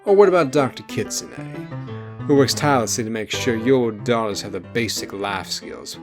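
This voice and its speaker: A man's voice: mid-range voice, normal speed, close to an everyday voice. Man playing a defiant, sardonic fantasy rebel. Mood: defiant, heated. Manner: sardonic, blunt, emphatic. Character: defiant, irreverent, plain-spoken male rebel subject. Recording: music bed behind the voice.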